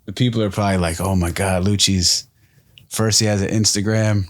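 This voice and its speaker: A young man co-hosting a podcast in casual chat, energetic and storytelling, often laughing. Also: low voice, fairly quick. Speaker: podcast co-host.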